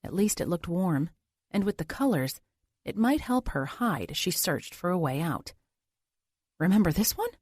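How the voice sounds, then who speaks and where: A woman's voice: fairly low pitch, big pitch swings, moderate pace, no room sound, clean studio sound. A woman reading scripted thriller narration as an audiobook narrator.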